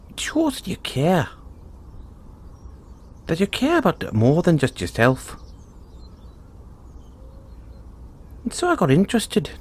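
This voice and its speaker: A man's voice: medium pitch, darkish tone. A man playing a cheerful, kindly leprechaun with a teasing, folksy manner, in an audio roleplay spoken to the listener. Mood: warm, touched. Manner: chuckling, teasing, folksy talk. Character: cheerful, kindly male leprechaun, chatty and sly.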